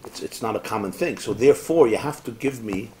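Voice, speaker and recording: A man, a rabbi, teaching a Talmud class to students in a lively, rhetorical way, tossing in Hebrew and Yiddish terms. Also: on the low side, a little fast, a little hiss or hum. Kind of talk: lively Talmud class lecture with back-and-forth.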